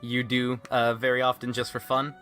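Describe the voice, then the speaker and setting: A man's voice: medium pitch, completely flat delivery, normal speed, bright, forceful tone. Jokey male podcast host over faint music. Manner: jokey, laughs through his talk. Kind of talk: casual chat.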